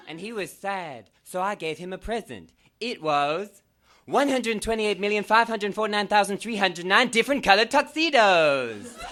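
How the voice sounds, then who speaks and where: A man's voice: high voice, bright, forceful tone, exaggerated voice. A man performing a comedic reading as a melodramatic, gushing nineteen-year-old fangirl telling her own story with exclaiming emphasis.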